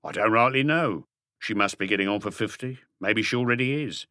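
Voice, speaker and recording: A man's voice: lowish voice, brisk, sharp tone, no echo, studio quality. A man voicing a shifty thirty-five-year-old small-time crook, defensive and evasive, in an audiobook mystery.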